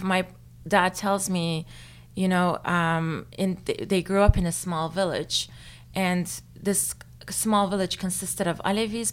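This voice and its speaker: Young woman from Turkey, Turkish first language, lowish voice, thoughtful and chatty interviewee. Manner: thoughtful, with frequent fillers and light laughs. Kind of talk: casual interview.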